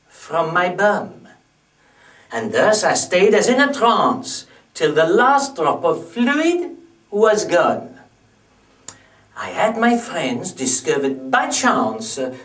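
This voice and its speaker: Proud Frenchman, music-hall monologue, high swooping voice, dramatic deliberate delivery, French accent. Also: a bit bright, exaggerated voice. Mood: grandly confiding. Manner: theatrical, deliberate, dramatic storytelling. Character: proud theatrical Frenchman, belle epoque music-hall performer reminiscing. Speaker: monologue performer. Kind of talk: rhyming comic stage monologue. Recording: echoey room, distant mic.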